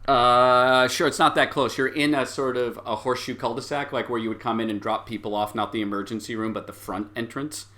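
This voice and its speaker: Male game master, sharp bright tone, improvised tabletop narration, halting delivery. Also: medium pitch, steady tone.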